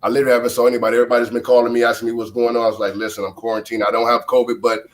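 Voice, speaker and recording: A man's voice: medium pitch, flat delivery, rapid-fire. A middle-aged man from Chicago, a former baseball player guesting on a show, talking in a laid-back way with a stuffy, nasal voice from a flu. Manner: laid-back, casual, many fillers.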